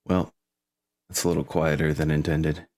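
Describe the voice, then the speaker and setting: A man's voice: rumbling low, flat delivery, normal speed, darkish tone. A man chatting casually as game master of a tabletop game, rambling and correcting himself as he goes.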